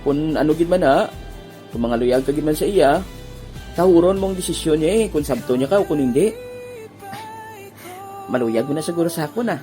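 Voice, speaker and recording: A woman's voice: deep voice, animated, normal speed, pauses often, warm tone, soft background music. A woman acting a lovesick part in an amateur audio drama, playful and teasing, then heartbroken and pleading.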